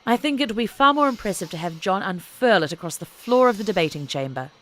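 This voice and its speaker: A woman's voice: mid-range voice, expressive, quick pace, a bit bright, natural voice. A woman narrator voicing a confident older mother in an audiobook story, with an assured, teasing, matronly manner. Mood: proud, hushed. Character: brisk, confident older mother, a women's suffrage campaigner.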